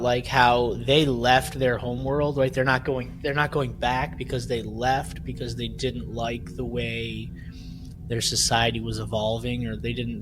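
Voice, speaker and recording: A man co-hosting a casual podcast discussion, retelling things conversationally and acting out paraphrased quotes. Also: mid-range voice. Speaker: podcast co-host.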